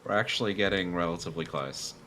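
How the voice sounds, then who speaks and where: Low-voiced man gaming over watery noise. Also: fast talker, pushed and bright. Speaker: streamer playing a multiplayer sailing game. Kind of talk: casual gaming chat. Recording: noisy, watery game sounds behind voice. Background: game ambience of boat and water.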